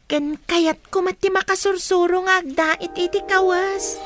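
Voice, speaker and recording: A woman's voice: very high voice, steady tone, unhurried, a bit bright, soft background music. A woman playing a dutiful, caring schoolgirl, the eldest daughter, in a radio drama. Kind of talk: Ilocano radio drama acting.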